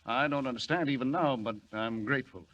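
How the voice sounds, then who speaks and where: A man's voice: mid-range voice, brisk, a bit bright, lightly acted. An actor playing a kindly middle-aged professor and father in a 1940s radio drama, genial and a bit hesitant. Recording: muffled old 1940s radio recording with hiss.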